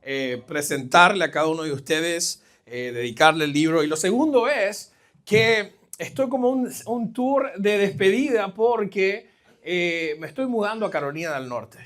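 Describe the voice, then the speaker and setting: A middle-aged man with a Caribbean Spanish accent giving a conversational, halting sermon as a guest pastor, addressing the congregation directly. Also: sits high, fairly bright tone. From New Jersey, of Caribbean family. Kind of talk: live sermon, personal testimony. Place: church, speaking to a congregation.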